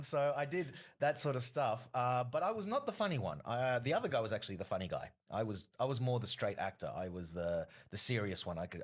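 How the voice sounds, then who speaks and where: A man, a comedian guest telling long stories in a casual podcast interview, with many fillers. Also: fairly low pitch. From Australia. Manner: rambling storyteller, frequent fillers.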